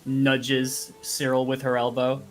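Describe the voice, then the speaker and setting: A player chatting casually and bantering through a tabletop roleplaying game, given to excited gasps and exclamations. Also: medium pitch, takes it slowly. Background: music.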